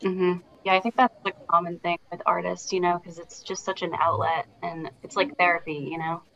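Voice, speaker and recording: A woman's voice: fairly low pitch, little pitch movement, a little fast, fairly bright tone. Encouraging woman interviewer, dull lo-fi sound. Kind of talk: casual interview questions.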